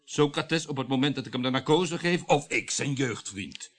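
A man acting as a domineering husband in a radio drama. He is commanding and mocking, theatrical in his phrasing, with a very animated, swinging pitch. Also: normal speed, natural voice. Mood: dismissive, coaxing. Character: domineering, mocking husband, a surgeon, brushing off guilt. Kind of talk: Dutch radio play acting.

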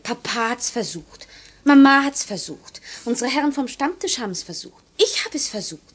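Young innkeeper's daughter: pert, flustered, highish husky voice, coquettish chatter for a classic radio play. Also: female voice, expressive, moderate pace. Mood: exasperated, chatty. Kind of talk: classic German stage-style radio drama acting.

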